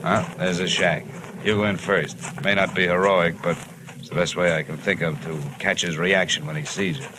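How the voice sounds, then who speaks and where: An actor playing a wry, hard-boiled insurance investigator in a mid-century radio detective drama. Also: male voice, deep voice, steady tone, moderate pace, close to an everyday voice. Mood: sardonic. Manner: sardonic, tough, wisecracking. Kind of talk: 1950s radio detective acting, first-person narration and dialogue. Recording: noisy vintage radio recording.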